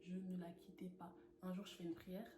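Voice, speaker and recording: A young woman from France sharing her personal faith testimony in an earnest, animated way, laughing often. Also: lowish voice, normal speed. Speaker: testimony speaker. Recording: echoey room with noise.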